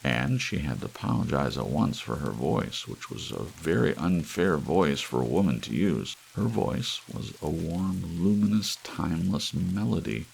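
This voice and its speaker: A man's voice: very deep voice, lively intonation, a little slow, gravelly, few pauses. A man narrating an audiobook story in an ASMR style. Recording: faint hiss, soft rain behind. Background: soft rain.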